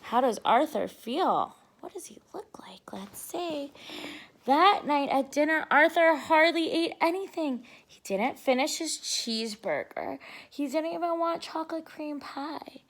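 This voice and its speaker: A woman giving an expressive read-aloud of a storybook for preschoolers, explaining simply to young listeners. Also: high-pitched, unhurried.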